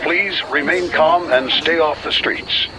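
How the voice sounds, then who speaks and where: An actor playing an official radio announcer giving formal, measured emergency bulletins. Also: male voice, fairly high pitch, rough recording. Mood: formal, urgent.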